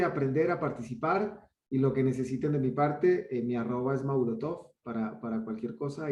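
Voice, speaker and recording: A man's voice: medium pitch, darkish tone, roomy sound. A man with a Colombian accent giving an earnest welcome speech for an online course, with frequent restarts. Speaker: bootcamp organizer.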